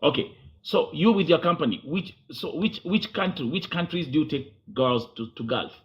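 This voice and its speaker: A man's voice: a little high, expressive, normal speed, lo-fi sound. A man from Kenya hosting a confrontational interview, pushy and talking over his guest, switching between English and Swahili.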